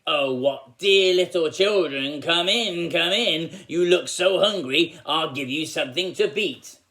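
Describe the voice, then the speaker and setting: A man's voice: on the high side, measured pace, sharp tone, pitched above the performer's own voice. A man voicing a wicked old woman witch in a children's storybook, greedy and threatening, with a snappish, commanding manner. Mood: falsely sweet.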